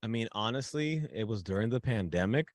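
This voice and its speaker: A man from the Dominican Republic, a guest author in a casual podcast interview, telling a story with excitement and repeating himself as he goes. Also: fairly low pitch, brisk. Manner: animated storyteller, repeats and restarts when excited.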